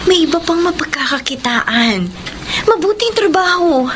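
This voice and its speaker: An actress playing a principled, worried young sweetheart in a radio drama, earnest and pleading. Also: female voice, very high-pitched, lively intonation, unhurried. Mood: pleading, concerned. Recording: noisy radio drama track.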